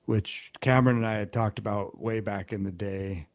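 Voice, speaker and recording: A man co-hosting a podcast, explaining at length in a rambling, halting way with many restarts. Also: on the low side, fairly even intonation, no room sound. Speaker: podcast co-host. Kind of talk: casual chat.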